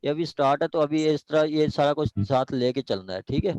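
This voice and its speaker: A man's voice: fairly high pitch, a little mellow, no room sound. A man with a Pakistani accent lecturing law students, speaking emphatically and repeating himself to drive points home. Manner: emphatic, repetitive, often checking understanding. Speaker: law lecturer preparing students for judicial exams. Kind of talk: online class discussion and exhortation.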